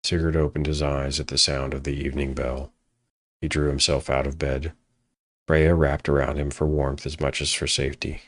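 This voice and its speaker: A man narrating a scripted fantasy story in a solemn, measured manner. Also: very deep voice, level tone.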